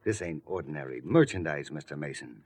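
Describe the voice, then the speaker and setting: A shady gangster from a 1940s radio mystery, speaks in a low voice. He is sly and insinuating, talking in tough slang with quiet menace. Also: animated, a little fast. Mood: menacing, persuasive. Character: shady gangster man, quietly menacing, offering murder money.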